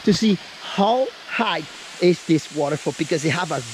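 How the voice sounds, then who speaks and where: A high-voiced man with as his talks excitedly outdoors beside a waterfall, chatty as he flies a drone. Loud hiss and rushing water sit behind his voice. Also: poor audio. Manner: excited, chatty, mixes English, Portuguese and Spanish. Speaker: vlogger and drone pilot. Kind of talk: casual outdoor vlog talk.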